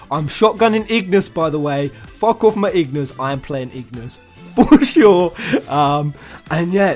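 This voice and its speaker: A man with a slightly high voice talks casually as a gaming channel host, with music playing faintly behind him. His manner is excitable and informal, with loose, sweary phrasing. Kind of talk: casual gaming news commentary. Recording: music under the voice. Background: background music.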